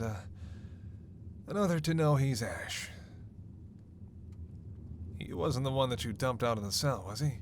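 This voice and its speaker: A man's voice: mid-range voice, a little hiss or hum. A man playing a witty, flirtatious, weakened male vampire in an intimate audio roleplay, with playful, sardonic delivery. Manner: playful, sardonic, murmuring asides and sighs.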